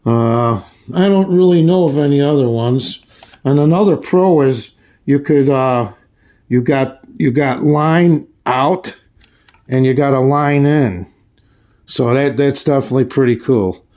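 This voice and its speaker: A man giving a casual, hands-on review in a halting, folksy way, often restarting his phrases. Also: medium pitch, warm tone. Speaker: radio reviewer. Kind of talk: casual hands-on product demonstration.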